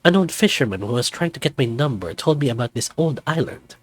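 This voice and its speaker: A man's voice: medium pitch, animated, fairly quick, dry recording, faint music behind, natural voice. Man putting on a flamboyant, lilting older snow leopard. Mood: wistful, fond.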